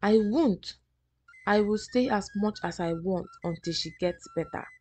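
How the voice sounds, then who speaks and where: A woman's voice: mid-range voice, a little slow, darkish tone, lightly acted. Woman doing a defiant young wife in a storybook tale, stubborn, music behind.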